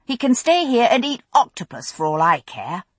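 Young woman, wry and brisk, husky and lively, read by a woman narrator. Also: mid-range voice, no echo, voice pushed up. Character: young woman, quick and sharp-tongued.

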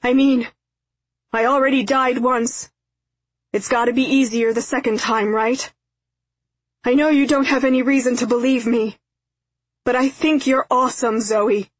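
A woman narrator plays a terrified, tearful father in an audiobook. The voice is raised and husky, pleading breathlessly and repeating itself in anguish. It sounds close and dry. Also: fairly high pitch, steady tone, moderate pace, long pauses, no echo. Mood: tearful resignation. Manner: pleading, breathless, repetitive outcries. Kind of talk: audiobook character acting.

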